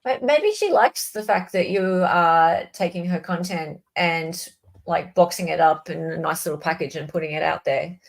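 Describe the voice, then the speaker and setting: A woman's voice: on the low side, normal speed, vocal fry. Woman hosting a casual livestream. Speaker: livestream host.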